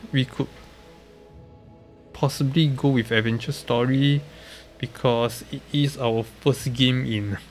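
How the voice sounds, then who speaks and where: A man with a nasal, congested voice from a recent illness, giving halting, casual commentary on a game live stream. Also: lowish voice. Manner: halting, hesitant, often trailing off. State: congested, recovering from being sick.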